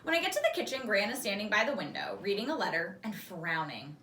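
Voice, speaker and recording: A woman teacher reading a children's story aloud in an expressive, animated way. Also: mid-range voice, quick pace. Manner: animated, acts out the dialogue. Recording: roomy echo, distant microphone.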